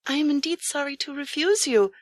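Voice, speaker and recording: A woman's voice: high-pitched, lively intonation, a little fast, no room sound, voice pushed up. A woman voicing a modest, politely firm wife in an audiobook tale, formal and apologetic. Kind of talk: audiobook character voice.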